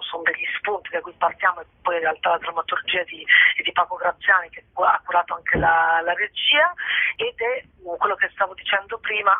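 A woman's voice: fairly low pitch, breathy edge. A woman being interviewed by phone, speaking spontaneously and hesitantly, often rephrasing as she searches for words. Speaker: theatre company member interviewed about a show. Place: on a phone call. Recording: tinny, echoey telephone line.

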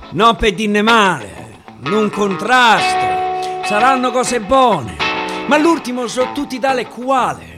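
A man with a Roman accent reciting dialect verse theatrically as a storyteller, with drawn-out pauses and repeated words. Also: sits high. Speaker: stage actor and storyteller. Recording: guitar music behind the voice. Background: guitar music.

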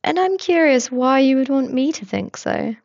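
A nosy, chatty man with a raised, eager voice, prying with question after question, as a woman reader puts him on. The sound is somewhat gravelly and close, on a slightly dull recording. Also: high-pitched, expressive, normal speed, a little mellow, no room sound, voice pushed up. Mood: curious, pleased.

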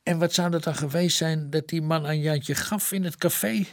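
A man's voice: a little high, fairly even intonation, moderate pace, close to an everyday voice. A man playing a folksy, plain-spoken police detective who defers to his inspector in a radio drama. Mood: eager, puzzled.

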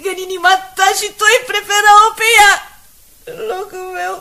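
Casting: embittered, hysterical wife in radio drama, high-pitched and piercing, accusatory, repetitive. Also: female voice, flat delivery, creaky, bright, forceful tone, full character voice. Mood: hysterical, tearful. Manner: insistent, repeats words, accusing. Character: jealous, hysterical, bitter wife of a newspaperman. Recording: hissy 1980s radio drama recording.

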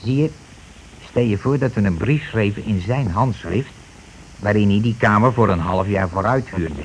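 Actor as a glib, bossy working-class schemer. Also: male voice, lowish voice, moderate pace, natural voice. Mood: sly, explaining. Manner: glib, colloquial, self-justifying. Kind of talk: Dutch radio play acting. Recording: noisy old radio play recording.

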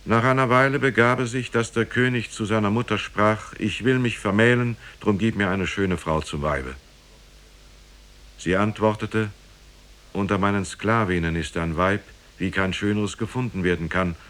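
An actor playing a grave storyteller, reciting an old parable in a solemn, measured, formal way for a 1950s German radio play. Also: male voice, on the low side, fairly bright tone, natural voice.

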